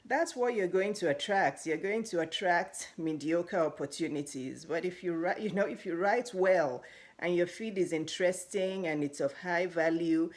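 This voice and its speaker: A woman with a Nigerian accent talks in a low, easy voice, coaching her listeners on getting ahead. She is chatty and encouraging and laughs lightly between phrases. She sounds middle-aged and speaks straight to her audience. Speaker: life coach. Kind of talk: casual motivational talk to camera.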